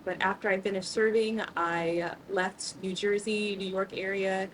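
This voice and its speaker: A woman's voice: mid-range voice, level tone, a little slow. A woman from Mississippi, a veteran, giving a rambling, conversational self-introduction. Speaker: student interviewee, military veteran. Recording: distant mic with faint noise.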